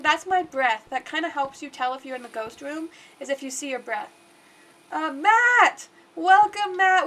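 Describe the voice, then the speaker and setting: Woman streamer, stuffed-up nose, high voice, chatty rambling play-by-play. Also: a bit bright. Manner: chatty and rambling, talks to the game aloud. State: congested. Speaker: livestreamer. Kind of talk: casual gameplay commentary.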